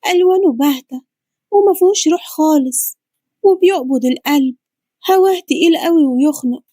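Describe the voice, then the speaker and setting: A woman's voice: high voice, a little fast, dark tone, no room sound, clean studio sound, pitched above the performer's own voice, natural voice. A woman voicing a naive, emotional young woman with heartfelt, exclaiming delivery and an Egyptian accent. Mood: wistful, relieved.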